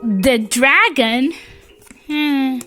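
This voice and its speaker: A reader with a childlike voice reads a children's picture book aloud haltingly, stumbling over and repeating words. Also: high voice, pushed and bright, soft background music. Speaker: storybook reader.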